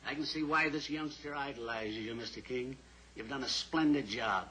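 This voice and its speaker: An actor playing a grateful, kindly ranch manager in an old-time radio drama. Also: male voice, medium pitch, normal speed, bright, forceful tone, slight background noise, close to an everyday voice.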